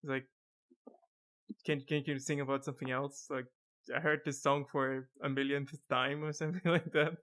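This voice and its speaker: Give me a monotone, laughing host. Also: male voice, medium pitch, normal speed. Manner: monotone, laughs often, many restarts. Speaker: podcast host. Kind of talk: casual video-call chat.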